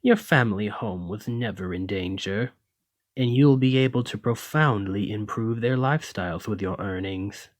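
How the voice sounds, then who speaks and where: A man's voice: fairly low pitch, moderate pace, no echo, close to an everyday voice. A man playing a haughty, teasing male lamia noble in an ASMR roleplay, with a pompous, refined, drawling and condescending manner. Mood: softened, pleased. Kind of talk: ASMR roleplay, scripted monologue to listener.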